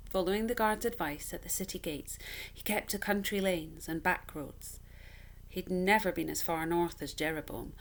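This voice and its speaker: A woman with a fairly low voice narrates a children's storybook. She reads expressively, in a measured and dramatic storytelling manner.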